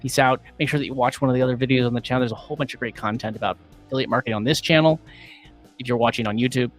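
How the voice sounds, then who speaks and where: A man hosting a casual livestream, upbeat and rambling with many fillers, his voice going a little hoarse. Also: mid-range voice, fairly even intonation, rapid-fire, faint music behind. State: losing his voice. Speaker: livestream host.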